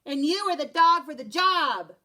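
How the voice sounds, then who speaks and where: A woman's voice: high-pitched, lively intonation, normal speed, bright, forceful tone. Woman doing a chattering little Chihuahua. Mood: eager. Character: small excited male Chihuahua sidekick, chatty.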